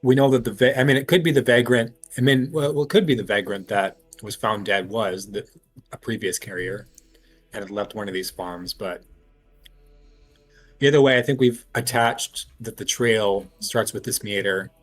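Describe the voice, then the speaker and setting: A man's voice: on the low side, steady tone, normal speed, soft background music. A man talking casually and analytically as he works through a mystery at length as a tabletop game player. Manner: analytical, reasons aloud at length.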